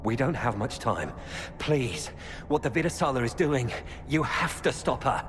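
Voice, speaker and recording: Man voicing desperate ex-knight, mid-pitched, very animated intonation, pleading, long reverb, fantasy game. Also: normal speed, natural voice. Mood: urgent. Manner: urgent, pleading. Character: desperate male former knight turned defector. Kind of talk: video game dialogue acting. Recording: long echoing reverb, clear background noise.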